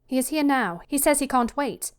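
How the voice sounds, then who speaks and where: Casting: frightened young daughter, played by a female narrator, high voice, breathy and hurried, pleading, for storybook narration. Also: expressive. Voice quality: breathy, gasping. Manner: hurried, anxious.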